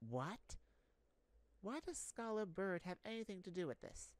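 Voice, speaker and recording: Woman voicing a gruff, bored, imperious king. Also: medium pitch, animated, unhurried, no room sound, voice pushed up, natural voice. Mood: irritated, bored. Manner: curt, imperious, dismissive. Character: gruff, bored, impatient king on his throne. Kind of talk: amateur fantasy audio roleplay. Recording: faint background noise.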